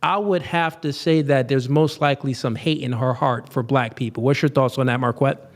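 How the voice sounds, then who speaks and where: A man hosting a podcast, reading viewer chats and chatting in a relaxed way. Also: medium pitch, moderate pace. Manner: relaxed, laughs between points. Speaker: podcast host. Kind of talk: casual chat.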